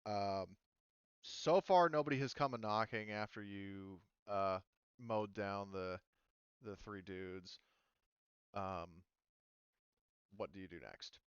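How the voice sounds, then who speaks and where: A man with a smooth, slightly low voice hosts a tabletop roleplaying game, improvising as he goes. He talks informally and swears mildly. The audio is dry and a little dull, like a close mic on voice chat. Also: slow, long pauses, no room sound. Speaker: game master.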